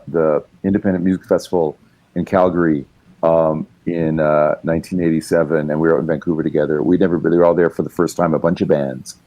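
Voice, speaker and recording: A man's voice: low voice, mellow. A male musician and writer, a guest telling long, rambling stories in casual chat, with many fillers and restarts. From Canada.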